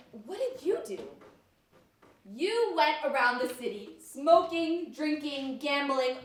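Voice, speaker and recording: A woman's voice: sits high, fairly bright tone. A woman acting in a stage play as a grieving, angry friend, accusing and impassioned. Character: grieving, angry woman defending her abused best friend. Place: on stage in a school theatre. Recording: echoey hall, distant mic, noisy.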